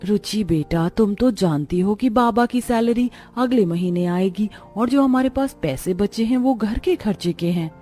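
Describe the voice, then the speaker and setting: Woman playing a gentle, worried mother, softly lowered. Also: measured pace, few pauses, close to an everyday voice. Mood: gentle, apologetic. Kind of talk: Hindi animated moral story dialogue. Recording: music behind the voice.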